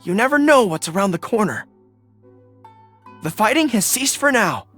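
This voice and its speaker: A man voicing an earnest, dutiful young dog-eared general in a video game, with crisp military diction. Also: high-pitched, sing-song, moderate pace, a bit bright, soft background music, full character voice. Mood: commanding. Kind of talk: video game character voice acting.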